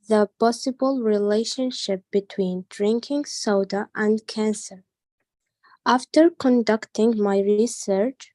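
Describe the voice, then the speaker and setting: A woman student reading a prepared script aloud slowly and carefully, stumbling over a few words. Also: on the high side, dark tone, dry recording. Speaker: language student.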